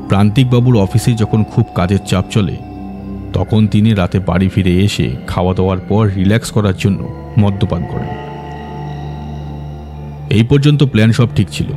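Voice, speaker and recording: A man playing a composed, confident detective who explains a case in a measured way in an audio drama. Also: fairly low pitch, natural voice. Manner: measured, expository. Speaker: detective character.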